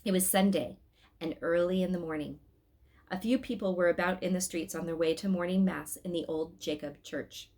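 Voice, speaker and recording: A woman's voice: fairly low pitch, normal speed. A woman reading a story aloud expressively, in an informal way, with little asides to her listeners. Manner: animated, addresses listeners with asides.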